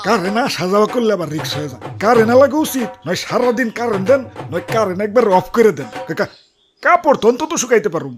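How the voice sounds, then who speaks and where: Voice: medium pitch, big pitch swings, fast, music in the background, natural voice. A performer voicing a carefree, cheeky cartoon youngster with casual speech. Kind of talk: comic cartoon dialogue.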